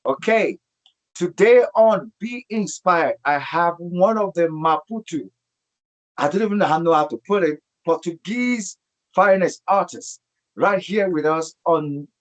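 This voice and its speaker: Man, talk show host, slightly high voice, emphatic, repeats phrases. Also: animated, a little slow, rough recording. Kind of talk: online TV interview, show introduction.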